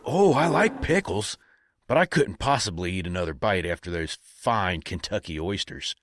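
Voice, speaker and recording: A man's voice: low-pitched, lively intonation, moderate pace, fairly bright tone, no echo, clean studio sound. A man playing a polite wandering cowboy in a comedic western audio drama, courteous and a little bumbling, with an American drawl. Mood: bumbling, awkward.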